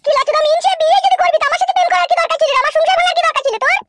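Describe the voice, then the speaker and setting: A voice actor playing a scorned, sharp-tongued village mistress who scolds and threatens in a comedy cartoon, through a pitched-up effect. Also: rapid-fire, heavy background noise. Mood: indignant ranting. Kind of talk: amateur Bengali comedy cartoon dialogue.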